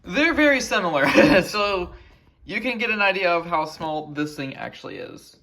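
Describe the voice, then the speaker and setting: Excitable guy vlogging casually, bright sharp voice, bit of noise behind him. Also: high voice, normal speed, vocal fry. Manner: excitable, exclamatory. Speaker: weather radio hobbyist and video maker. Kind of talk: casual, spontaneous on-camera chat. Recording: faint background noise.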